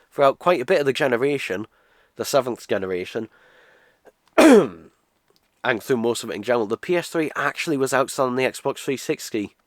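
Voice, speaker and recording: A man's voice: mid-range voice, dry recording, studio quality. Nasal British guy with a cold. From United Kingdom. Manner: rambling, lots of uhs and restarts. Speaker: video game reviewer. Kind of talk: casual unboxing review monologue.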